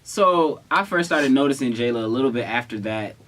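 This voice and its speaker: A man's voice: medium pitch, animated, a little fast, a bit bright. A young man telling a story in casual, slangy chat, with a playful, protesting manner. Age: young adult. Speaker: vlogger telling a couple story.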